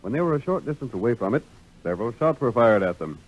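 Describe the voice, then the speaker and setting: Animated American male radio drama announcer. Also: mid-range voice, fast, husky, low-quality recording. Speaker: announcer and narrator. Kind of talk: 1930s radio narration, scripted. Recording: vintage 1930s radio recording.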